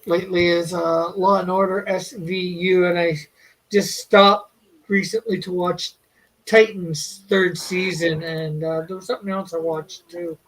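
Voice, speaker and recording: A man's voice: sits high, little pitch movement, measured pace, poor audio. A man hosting a casual podcast, keeping an eye on the live chat and talking in an easygoing way. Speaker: podcast host reading the chat.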